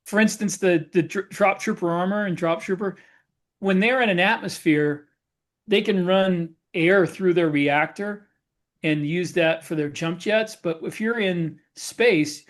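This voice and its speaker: High-pitched man explaining casually. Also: a little slow. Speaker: podcast co-host, science fiction author.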